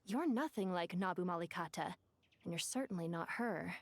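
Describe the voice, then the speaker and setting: A woman's voice: on the low side, animated, moderate pace, dry recording. A woman voicing an ancient, devoted djinn for a video game, speaking in a theatrical, eloquent and formal way. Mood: tender, probing. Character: ancient djinn woman, grand, wistful, devoted to her lost mistress. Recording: music behind the voice.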